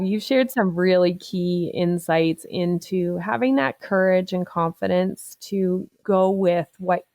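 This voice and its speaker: A woman's voice: on the low side, creaky, a little mellow, no room sound. A woman hosting a podcast interview who encourages her guest and sums up the guest's points. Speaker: podcast host.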